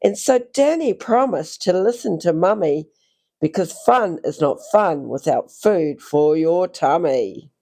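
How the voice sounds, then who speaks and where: A woman reading a rhyming children's picture book aloud in a theatrical, expressive way, drawing out phrases for young listeners. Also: on the low side, a little slow. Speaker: children's story reader.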